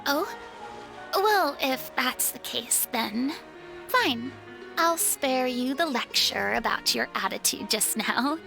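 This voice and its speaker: Casting: proud young retired diva for a game, high voice, haughty, theatrical, formal, over music. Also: female voice, expressive, takes it slowly, vocal fry, full character voice. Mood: wary. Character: proud, theatrical young female retired star and former deity. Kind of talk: video game voice acting. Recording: background music under the voice.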